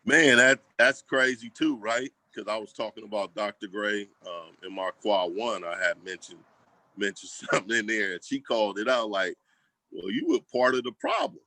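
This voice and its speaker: Male interview guest, low-pitched with a forceful edge, casual and slangy, very dry close sound. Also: lively intonation, moderate pace, no room sound. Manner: colloquial, slangy, acts out quoted remarks. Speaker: school program leader, guest. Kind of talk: casual conversational storytelling.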